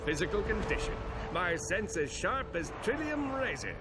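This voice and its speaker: A self-important comic game hero. He boasts grandly in a high, lively voice. Loud music plays underneath. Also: moderate pace. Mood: grand, boastful. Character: pompous, boastful male would-be hero with cowardly streak. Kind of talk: comic video game acting.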